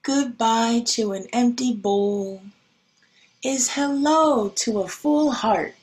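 A woman teacher reading a picture book and talking to young children in an expressive, deliberate, child-directed way. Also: on the high side, rough recording. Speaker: schoolteacher reading to pupils. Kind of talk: picture-book read-aloud and talk to children.

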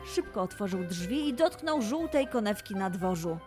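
A woman's voice: medium pitch, lively intonation, normal speed, over loud music. A woman narrating a scripted children's story expressively as the host.

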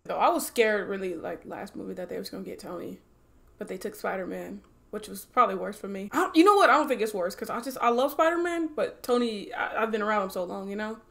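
A woman's voice: medium pitch, expressive, fast, gravelly, fairly bright tone. A woman in her twenties giving casual, emotional, slangy film reaction commentary, full of interjections, recorded in a small room. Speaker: movie reaction host. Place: small room at home, indoors.

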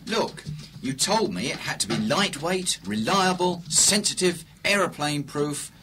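A man's voice: fairly high pitch, unhurried. Guy doing joking radio engineer, bouncy intonation, hissy recording. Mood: teasing. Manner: wry, teasing, lively. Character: wry joking male radio designer. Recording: noticeable background hiss.

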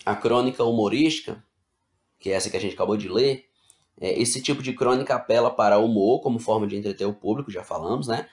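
Male teacher explaining slides casually. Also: lowish voice. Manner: explains casually, checks in with "né?" and "tá bom?"; reads slide text aloud, then rephrases it in plain words. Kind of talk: online class lecture over slides.

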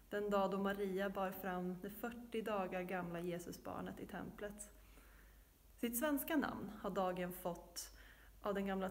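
A woman's voice: medium pitch, darkish tone. A young adult woman giving a scripted presentation to camera as the presenter of a church feature. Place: inside a large, empty-pewed church.

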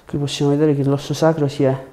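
A man's voice: fairly high pitch, level tone, quick pace, warm tone. A young man working as a chiropractor in a small treatment room, giving short reassuring directions and explaining the treatment. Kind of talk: hands-on treatment talk, explaining to patient and viewers. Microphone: lapel mic.

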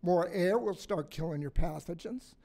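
An older man in his sixties gives a conference talk at a podium mic, his voice slightly raspy and pitched high for a man. He speaks bluntly and folksily, joking and putting questions to the room. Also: normal speed, mellow. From Massachusetts, USA. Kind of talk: conference talk to a live audience. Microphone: stand mic on a podium.

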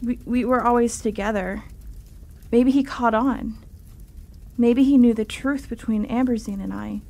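A woman's voice: fairly high pitch, steady tone, unhurried, darkish tone. An actress playing a determined, grieving young woman seeking truth in an audio drama. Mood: pleading resolve. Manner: earnest, insistent.

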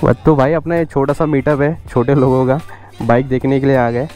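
A man's voice: mid-range voice, flat delivery, brisk, a little mellow, soft background music. A young man chatting casually to viewers as a motovlogger, outdoors on a road. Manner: chatty, addresses viewers as guys and brother. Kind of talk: casual vlog chat.

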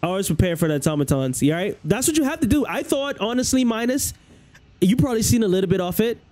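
High-voiced Bahamian man streaming games. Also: sing-song, fast talker, a little mellow. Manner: loose, rambling, repeats phrases. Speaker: game streamer. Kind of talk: casual livestream chat with viewers. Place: small room at home, indoors. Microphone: headset.

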